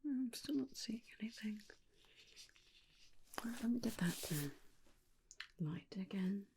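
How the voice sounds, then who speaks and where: A woman playing a gentle, caring female vampire in an ASMR roleplay, whispering softly and soothingly with hesitant reassurances. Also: mid-range voice, expressive, slow, slight background noise. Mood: gentle, reassuring. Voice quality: soft breathy whisper. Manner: soothing, hesitant, repeats reassurances, calls listener darling. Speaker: ASMR performer. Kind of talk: ASMR personal-attention roleplay.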